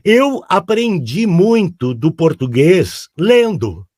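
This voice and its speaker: A man's voice: high-pitched, big pitch swings, slow pace, darkish tone, no echo, clean studio sound. A man in his sixties from southern Brazil with a gaúcho accent, chatting casually on a podcast as a guest, rambling with many fillers and tag questions. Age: 60s. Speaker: audiobook narrator guest.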